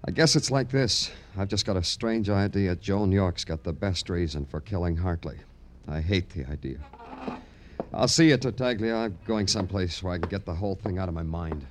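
A man's voice: low voice, lively intonation, normal speed, a little hiss or hum. An American man acting a terse, world-weary police detective in a hardboiled 1950s radio crime drama. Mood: weary, troubled. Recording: old radio drama with music behind.

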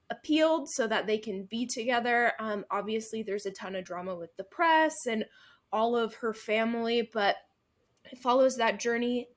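A young woman co-hosting a book podcast, recapping and reviewing in a casual, chatty way with lots of fillers. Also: fairly high pitch. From Midwestern United States. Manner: chatty, frequent fillers and laughs. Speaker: podcast co-host.

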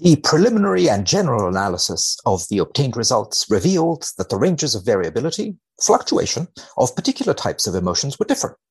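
A man speaking as a podcast guest in a measured, deadpan way with dry humour, both chatting and reading research aloud. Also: medium pitch, breathy edge. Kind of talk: wry conversation and dramatic reading of a research paper.

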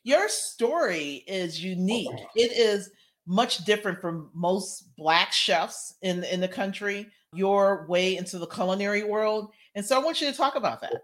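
A woman's voice: medium pitch, lively intonation, measured pace. A woman hosting a food podcast, reading a polished introduction and then chatting with her guest. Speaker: podcast host. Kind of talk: scripted intro then interview chat.

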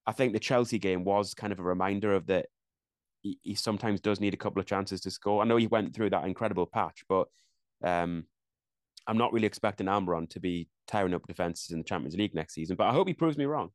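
A young English man co-hosting a casual football podcast, talking quickly and thinking aloud with frequent restarts. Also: sits low, no room sound, studio quality. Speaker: podcast co-host.

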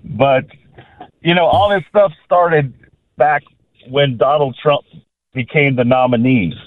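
Loud emphatic male caller on phone. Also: medium pitch, takes it slowly, dry recording. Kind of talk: call-in radio. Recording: phone line.

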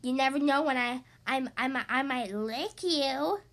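A woman's voice: high-pitched, unhurried, a bit bright. A woman voicing a big, scruffy boy monster who is blustering, slurpy and whiny. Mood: mock-menacing.

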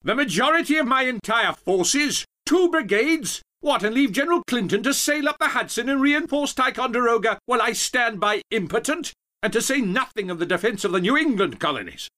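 A man's voice: very high voice, expressive, a little fast, bright, forceful tone, pitched above the performer's own voice, heavily acted. A man voicing a pompous, indignant middle-aged army general in audiobook dialogue, blustering as he talks. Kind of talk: dramatised historical audiobook dialogue.

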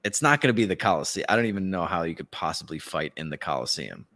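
Male podcast co-host, lower register, opinionated and slangy, loose conversational delivery. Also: expressive, fast, a bit bright. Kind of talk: casual news banter.

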